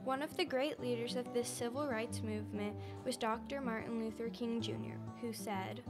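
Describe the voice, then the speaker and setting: A woman's voice: a little high, steady tone, moderate pace. A young woman reading scripted narration for an amateur radio drama. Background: music with guitar under the voice.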